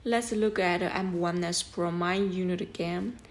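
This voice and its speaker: A woman's voice: lowish voice, fairly even intonation, normal speed, music in the background. A woman presenting a product review as scripted narration, explaining things step by step in an instructional way.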